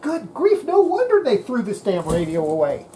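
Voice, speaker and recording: A woman's voice: on the high side, huge pitch swings, moderate pace, dark tone, a little hiss or hum. A woman hosting a repair video, talking casually to herself as she works, exasperated and mildly swearing. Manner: exasperated, mutters to self, mild swearing. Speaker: host of a radio repair video. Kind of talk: casual talk while working at a repair bench.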